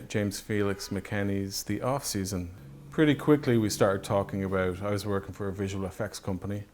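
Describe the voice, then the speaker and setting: A man reminiscing in a documentary interview as a filmmaker, in a halting, thoughtful way with frequent pauses. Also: lowish voice, gravelly. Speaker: film director and effects artist being interviewed. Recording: steady background noise.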